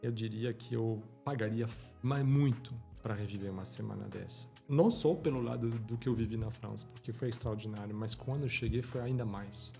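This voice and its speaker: A man's voice: on the low side, fairly quick, gravelly, few pauses, mellow, soft background music. A middle-aged man from France, a podcast guest talking in a casual chat, earnest and emphatic, often repeating words as he speaks. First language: French.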